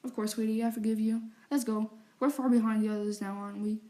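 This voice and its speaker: A woman voicing a young male wizard comforting his fiancé, speaking softly and partly in a whisper. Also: a little high, fairly even intonation, fast talker, close to an everyday voice. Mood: tender, soothing. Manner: soft, hushed, partly whispered.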